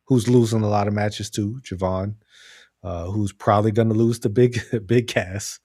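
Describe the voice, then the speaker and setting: Low voiced guy podcast host, casual opinionated sports talk, real dry close sound. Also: fairly even intonation, moderate pace, a little mellow, no echo. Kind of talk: casual chat, pro wrestling commentary.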